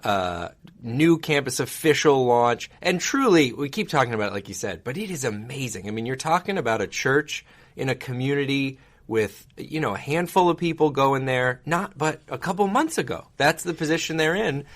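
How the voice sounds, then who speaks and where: A man co-hosts a church podcast at an average pitch. He chats casually and sounds upbeat, like he is promoting church events. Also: lively intonation, moderate pace. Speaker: podcast host.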